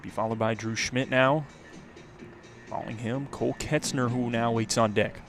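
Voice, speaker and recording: A man's voice: medium pitch, fairly even intonation, moderate pace, soft background music. A man calling a baseball game live as a radio play-by-play announcer. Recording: outdoor ballpark crowd and ambient noise. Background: ballpark crowd.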